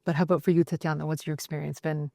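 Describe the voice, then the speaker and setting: Woman moderator, low voice, dry close sound, encouraging questions. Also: little pitch movement, racing, gravelly, dark tone, dry recording. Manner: encouraging, asks follow-up questions. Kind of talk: roundtable moderation.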